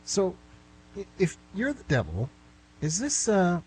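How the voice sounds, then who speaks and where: A man's voice: high-pitched, wildly swooping pitch, a little slow, a little mellow, exaggerated voice. An actor playing a nervous, bewildered writer in his thirties in a comic radio play, hesitant and stammering. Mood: anxious. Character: nervous, bewildered thirty-three-year-old male writer in hell. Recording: noisy amateur recording with hum.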